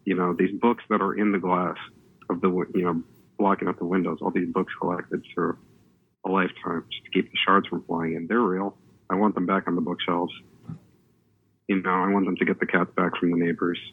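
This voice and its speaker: Deep gravelly man on a tinny line. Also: flat delivery, fairly quick, slight background noise. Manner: rambling, earnest, many restarts and "you know". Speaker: panelist. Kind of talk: panel discussion.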